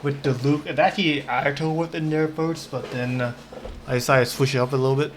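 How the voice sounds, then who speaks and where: A man's voice: mid-range voice, steady tone, brisk, a little hiss or hum. A man giving casual, rambling gameplay commentary as a streamer, hesitating and repeating his words.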